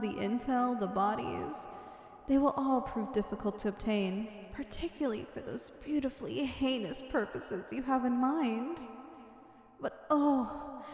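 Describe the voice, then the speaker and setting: Woman acting a high-voiced obsessive villainess in echo. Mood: eager, devoted. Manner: grandiose, ornate, fervent delivery. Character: obsessive villainous woman, wicked devoted lover, theatrical. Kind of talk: ASMR roleplay, scripted dramatic monologue. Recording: echoey, long reverberant hall effect.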